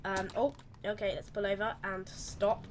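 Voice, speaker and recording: A woman with a slightly croaky voice, rambling chattily through live gameplay commentary and correcting herself as she goes. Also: medium pitch, flat delivery, moderate pace, a little hiss or hum. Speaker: game streamer.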